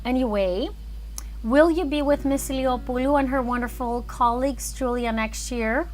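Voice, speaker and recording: A woman's voice: high voice, normal speed, a little mellow, low-quality recording. A woman chatting casually and thinking aloud as she hosts an animation livestream. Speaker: animator and filmmaker streaming live.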